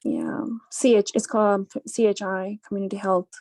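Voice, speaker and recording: A woman from New Orleans answers practice interview questions at a middle pitch. She hesitates often and fills pauses as she works out her points, and the recording is dry and close. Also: little pitch movement, a little mellow, no room sound. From born in Pakistan, grew up in New Orleans. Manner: hesitant, many ums. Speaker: medical graduate interviewee.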